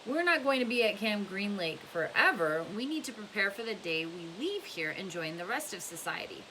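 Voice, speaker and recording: A woman's voice: medium pitch, sing-song, normal speed, bright, forceful tone, poor audio. A woman voicing an earnest, preachy male camp counselor from a storybook in a pointed, lecturing way, over hiss. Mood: earnest, encouraging. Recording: clear background hiss.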